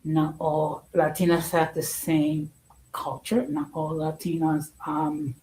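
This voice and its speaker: Slow, halting woman with a mellow voice. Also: low voice, a little hiss or hum, low-quality recording. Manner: halting, deliberate, emphatic, repeats phrases. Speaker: host. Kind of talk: informal opinion talk to an audience.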